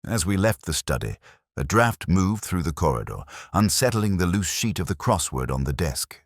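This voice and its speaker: A man performs an ageing police inspector narrating his own detective story. The voice is extremely low, with plenty of rise and fall, recorded close and dry. He is pensive and observant, measured and literary in his telling. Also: very animated, no echo, exaggerated voice. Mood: pensive, brooding. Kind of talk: scripted first-person detective story narration.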